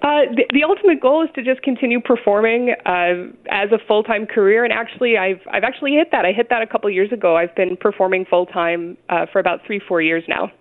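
A woman opera singer, chatty and quick to laugh, being interviewed over the phone. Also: medium pitch. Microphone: telephone. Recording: tinny phone line.

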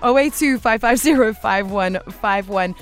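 A woman's voice: medium pitch, normal speed, soft background music. A woman hosting a radio call-in show, chatty and affectionate with callers. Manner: warm, chatty, calls listeners baby. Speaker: radio host.

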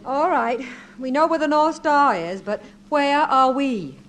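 An actress playing a skeptical, practical wife with a dry, pointed manner, in 1950s radio comedy style. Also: female voice, high-pitched, a little slow. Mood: doubtful. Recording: faintly noisy 1950s radio recording.

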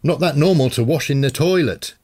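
A man voicing a cheeky teenage boy who talks in blunt casual slang, pitched well above his own voice. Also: brisk, a little mellow, close to an everyday voice.

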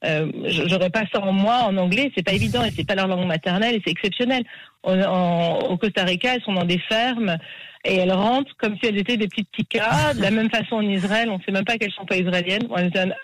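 A woman's voice: mid-range voice, quick pace, no echo, low-quality recording. A woman in her forties from France, talking at length and with enthusiasm as an interview guest. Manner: talkative and enthusiastic. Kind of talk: interview conversation.

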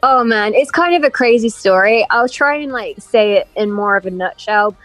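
A woman's voice: mid-range voice, normal speed, no room sound, rough recording. A woman in her thirties with a mixed English and American accent, chatting animatedly as an interview guest over a video call. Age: 30s. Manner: chatty, animated storytelling with frequent laughs. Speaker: guest musician. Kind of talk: casual interview chat.

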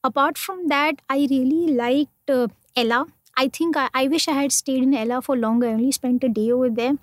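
A young Indian woman telling travel stories in a relaxed interview, rambling on with plenty of ums and restarts. Her voice sits a bit high and sounds close and dry, with no room around it. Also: a little fast, no room sound. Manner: chatty, many fillers and restarts. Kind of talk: casual conversational storytelling.

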